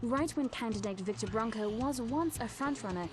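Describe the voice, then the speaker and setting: An actress playing a television news anchor, reading scripted bulletins in a formal, composed way. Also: female voice, fairly high pitch, expressive, normal speed, music in the background, close to an everyday voice.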